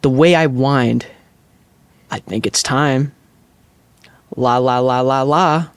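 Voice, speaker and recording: A man playing an overdramatic actor deliberately reciting flirty pop lyrics as a mock dramatic reading. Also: mid-range voice, steady tone, smooth, dry recording. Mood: mock serious. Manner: deliberate, theatrical.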